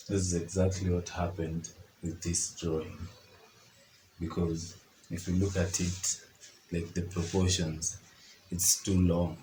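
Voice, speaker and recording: Young man, hoarse, very deep voice, monotone, casual chatty talk. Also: roomy sound, slight background noise, rough recording. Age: young adult. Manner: informal, chatty, frequent fillers. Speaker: artist. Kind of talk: casual art tutorial chat.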